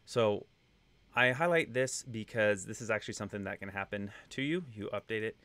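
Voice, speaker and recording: A man in his thirties with a light Texan accent talks through code in a relaxed, unhurried way, full of little fillers. His voice sits low. The sound is close and dry, with no room around it. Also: dry recording. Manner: relaxed, conversational explaining with fillers. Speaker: web testing instructor. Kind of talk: screen-share coding tutorial.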